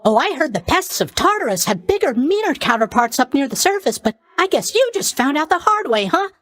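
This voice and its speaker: A man's voice: extremely high pitch, very animated, brisk, a bit bright, no room sound, heavily acted. A man playing a cheery, oblivious young god of sleep in a video game, chatty and blithely tactless in his mock sympathy. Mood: blithely sympathetic. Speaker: video game character voice actor. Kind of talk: video game character voice lines.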